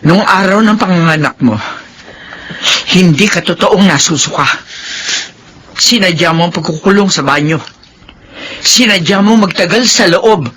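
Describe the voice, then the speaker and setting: A woman's voice: on the low side, measured pace, long pauses, fairly bright tone, slight background noise. A woman playing a stern, emotional older mother in a Filipino radio drama, speaking dramatically and emphatically. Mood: stern, accusing.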